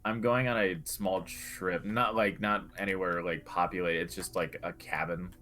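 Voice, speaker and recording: Deep-voiced young man in casual chat. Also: steady tone, moderate pace, pushed and bright. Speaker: podcast co-host. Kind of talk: casual chat about video games.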